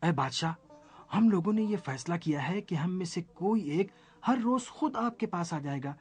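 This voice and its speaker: A man's voice: high-pitched, animated, moderate pace, breathy edge, pitched above the performer's own voice. A man voicing anxious jungle animals under a tyrant's rule in a children's story, speaking earnestly and formally. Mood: respectful.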